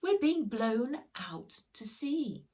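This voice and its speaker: A woman voicing an eager, excitable farm boy who exclaims his way through a children's storybook. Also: a little high, takes it slowly, slight background noise, pitched above the performer's own voice. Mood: alarmed.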